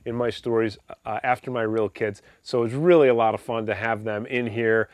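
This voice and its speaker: Brief: male author-narrator, medium pitch, presenting his fiction on a close, dry studio mic. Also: fairly even intonation, moderate pace, no room sound. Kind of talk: scripted narration and informal commentary.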